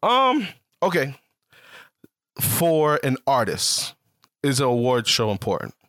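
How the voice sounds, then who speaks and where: A man in his late twenties chats on a podcast in a relaxed, slangy way, dropping ums as he thinks. His voice sits at an ordinary pitch. The studio mic sounds close and dry. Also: slow pace, dry recording. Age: 20s. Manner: laid-back slangy talk with many ums. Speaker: podcast co-host. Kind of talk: casual chat.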